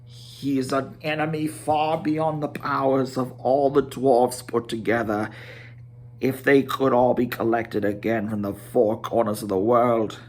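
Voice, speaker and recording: A man voicing a grave old wizard in a storybook reading, stern, proud, slow and grim in manner. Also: medium pitch, level tone, darkish tone, close to an everyday voice.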